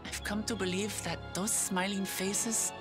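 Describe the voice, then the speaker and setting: A woman's voice: medium pitch, steady tone, measured pace, loud music behind the voice, natural voice. An actress playing a young, idealistic lion-woman warrior princess in a video game, speaking earnestly and from the heart. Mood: reflective, sincere. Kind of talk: voiced game cutscene dialogue.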